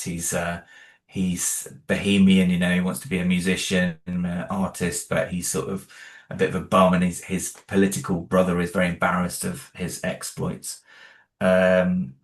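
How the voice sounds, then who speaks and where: A man being interviewed about the audio drama he created, hesitant, with many fillers and restarts. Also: sits low. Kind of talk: interview guest.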